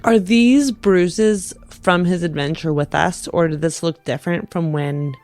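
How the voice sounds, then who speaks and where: A woman's voice: fairly low pitch, unhurried, darkish tone. A woman playing a tabletop game, improvising dialogue in character.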